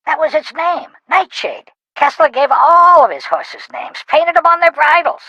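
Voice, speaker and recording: A woman playing a gruff, folksy carousel operator in a video game, talking in blunt slang. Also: sits high, very animated, a little slow, pushed and bright, no room sound, muffled recording, heavily acted. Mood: matter-of-fact. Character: gruff, folksy, brusque middle-aged woman carousel operator who loves fishing.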